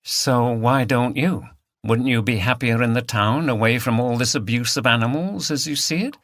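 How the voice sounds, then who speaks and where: A man voicing a calm police woman sergeant asking polite, businesslike questions in an audiobook. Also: medium pitch, moderate pace, no echo, studio quality, close to an everyday voice. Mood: probing.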